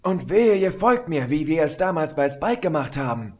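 Casting: a man playing a cocky tomboy pony mare, raised pitch, storybook reading. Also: big pitch swings, normal speed, a little mellow. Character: brash tomboyish young mare pegasus.

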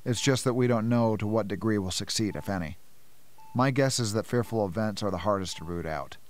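A man playing a measured, cerebral scientist and inventor in an amateur radio play. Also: lowish voice, close to an everyday voice. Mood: clinical.

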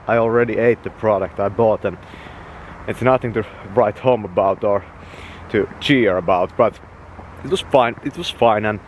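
A man's voice: lowish voice, a little hiss or hum. A young man from Finland with a Finnish accent, chatting casually and swearing freely as he walks and films himself at arm's length outdoors. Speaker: vlogger. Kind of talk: rambling walk-and-talk vlog. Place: outdoors, walking through woods and town. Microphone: handheld camera at arm's length.

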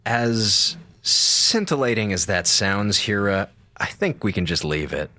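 An actor playing a wisecracking slacker communications officer on a space station in a radio drama, casual, sarcastic and theatrical. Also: male voice, lowish voice, sing-song, measured pace, pushed and bright, no echo, exaggerated voice. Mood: relaxed, dry. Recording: music playing behind voice.

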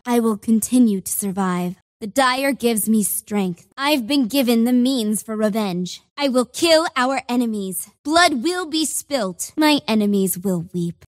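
An actress playing a bloodthirsty young female warlord of a dark cult in a video game, with imperious, menacing, theatrical delivery. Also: fairly high pitch, dry recording, clean studio sound.

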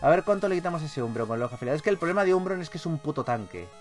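A man with a Castilian Spanish accent giving casual, animated gameplay commentary as a video host, swearing freely. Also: fairly high pitch, very fast, soft background music. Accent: Spanish from Spain.

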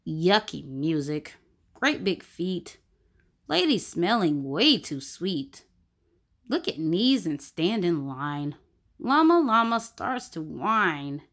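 A woman reading a rhyming picture book aloud for children in an expressive, sing-song way. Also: on the low side, takes it slowly. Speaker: children's story reader. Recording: music bed under the voice. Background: background music.

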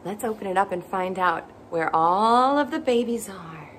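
A woman's voice: medium pitch, expressive, normal speed, slight background noise. A young woman hosting a children's story time, reading a picture book aloud in an exaggerated, playful way with gasps and questions. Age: young adult. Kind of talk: animated picture-book read-aloud for toddlers. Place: small indoor playroom with bookshelves.

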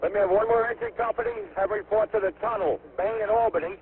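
A male fire incident commander giving brisk orders over a two-way radio. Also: high voice, huge pitch swings, vocal fry. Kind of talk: fireground radio traffic. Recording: tinny, noisy fireground radio recording.

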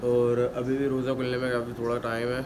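Young male vlogger, worn-out and fasting, chatty, phone-mic hiss in the background. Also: medium pitch, monotone. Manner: chatty, addresses viewers as "your brother". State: tired and fasting. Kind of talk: casual daily vlog chat. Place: home, indoors. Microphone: phone camera held close. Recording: noisy phone vlog audio.

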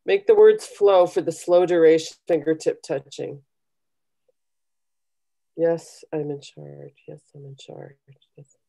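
A middle-aged woman with a low, mellow voice talks on a video call. She coaches conversationally and gives encouraging, step-by-step meditation instructions. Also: slow pace, creaky, long pauses, rough recording. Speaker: guest leading a guided meditation. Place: small home room, indoors. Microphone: computer video call.

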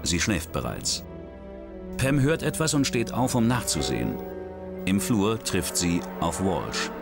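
A man narrating a crime documentary in a measured, dramatic scripted style. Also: deep voice, big pitch swings, gravelly, over music.

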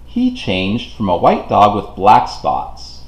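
Animated man reading a storybook, roomy sound. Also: lowish voice, takes it slowly. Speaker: children's book reader. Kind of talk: expressive read-aloud storybook narration. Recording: roomy, echoing home recording.

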